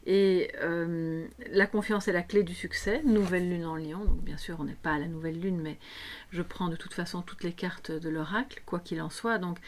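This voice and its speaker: A woman with a somewhat low voice and a slight hoarseness guides viewers through a tarot reading. She talks off the cuff, explaining as she goes and checking in with her listeners. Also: fairly even intonation, normal speed, a little hiss or hum. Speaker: tarot reader and coach hosting a guidance video. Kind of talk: unscripted spiritual guidance talk to viewers.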